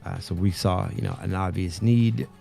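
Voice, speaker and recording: A man co-hosting a stream, speaking in a casual, halting way with many fillers and restarts. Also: sits low, steady tone, vocal fry, warm tone, soft background music. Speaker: streamer co-host. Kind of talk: casual live-stream interview.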